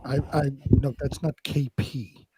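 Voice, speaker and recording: A man's voice: medium pitch, steady tone, measured pace, mellow, husky. A man co-hosting a casual live show, chatting and reading out narration. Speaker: show co-host and drama narrator.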